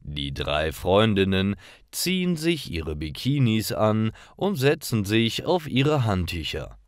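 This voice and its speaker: Low-voiced man narrating with lively swings. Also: slow pace, no echo, studio quality. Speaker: narrator of stories for language learners. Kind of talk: scripted storybook narration for learners.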